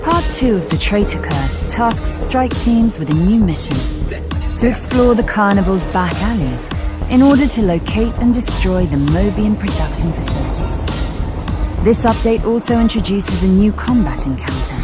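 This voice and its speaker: A woman's voice: medium pitch, expressive, normal speed, natural voice. A woman playing a composed, authoritative military briefer, reading scripted game trailer narration. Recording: loud music under narration.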